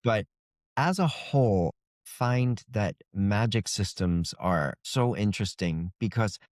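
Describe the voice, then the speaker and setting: A man's voice: fairly low pitch, lively intonation, takes it slowly, darkish tone, no room sound, studio quality. A man being interviewed as an author, explaining things in an informal, loosely phrased, conversational way.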